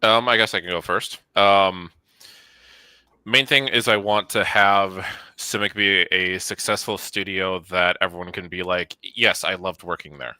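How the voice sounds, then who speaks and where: A man's voice: sits low, steady tone, measured pace, bright, forceful tone, clean tone, no echo. A man in his thirties chatting casually in an interview as a studio co-founder, with an informal, rambling, self-effacing way of talking. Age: 30s.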